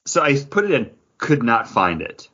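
A man's voice: medium pitch, wildly swooping pitch, normal speed, bright, forceful tone. A man co-hosting a podcast, talking casually and conversationally. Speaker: podcast co-host. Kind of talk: casual chat. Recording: dull lo-fi sound.

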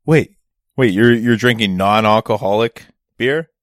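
A man's voice: fairly low pitch, a little slow, dry recording, studio quality. A man co-hosting a podcast, bantering enthusiastically and casually. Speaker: podcast co-host. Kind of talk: casual chat.

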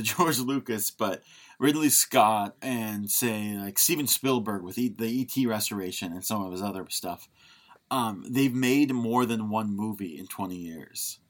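A man's voice: mid-range voice, measured pace, a bit bright. A man co-hosting a podcast, speaking in a casual, conversational way as he discusses films. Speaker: podcast co-host.

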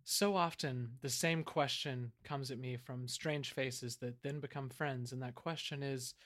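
A man's voice: medium pitch, steady tone, fairly bright tone, natural voice. A man playing an earnest, grandiose small-town island mayor in an improvised audio comedy, speaking with mock-solemn sincerity. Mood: solemn, grateful. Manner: halting, rambling, sincere, drawn-out words.

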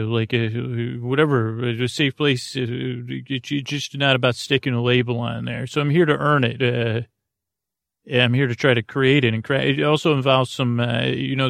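Creaky-voiced man, lulling and meandering. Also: mid-range voice, no room sound. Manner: lulling, meandering, hesitant with tangents. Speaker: podcast host. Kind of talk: rambling bedtime monologue for sleep.

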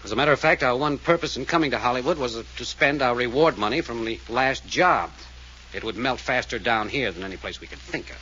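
An actor playing a cool, blunt, wry adventurer-detective in an old radio drama. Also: male voice, mid-range voice, fairly quick, sharp tone, close to an everyday voice. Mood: cool, defiant. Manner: blunt, dry, needling, unintimidated. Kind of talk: 1940s radio drama acting. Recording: dull old radio recording, faint noise.

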